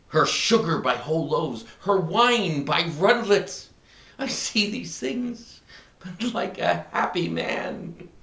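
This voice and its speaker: A man playing a smug, contented kept husband in a theatrical, gleeful Elizabethan stage comedy monologue, with drawn-out phrasing. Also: a little high, bright, forceful tone, voice pushed up, exaggerated voice. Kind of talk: classical stage monologue acting. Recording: echoey room.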